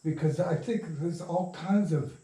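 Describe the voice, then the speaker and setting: An older man telling stories in a casual interview, halting and meandering, often trailing off mid-thought. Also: high voice, dark tone. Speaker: interviewed radio play writer and producer. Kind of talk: rambling casual interview storytelling. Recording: roomy, distant from the mic.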